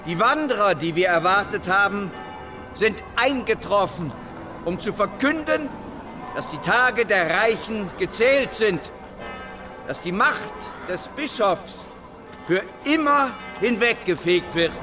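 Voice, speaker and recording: An actor playing a fiery, zealous sixteenth-century revolutionary preacher in a radio play, declaiming in a rousing, deliberate way. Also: male voice, high-pitched, lively intonation. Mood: fervent, rousing. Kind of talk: dramatic sermon in historical radio play. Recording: loud music under the voice.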